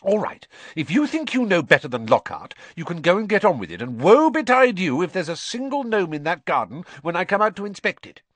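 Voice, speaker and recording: A man voicing a fussing, sharp-tongued middle-aged witch mother who scolds and snaps at her sons. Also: high-pitched, sing-song, brisk, few pauses, no echo, voice pushed up, exaggerated voice. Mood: brisk, fond. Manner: scolding, snappy, then softening.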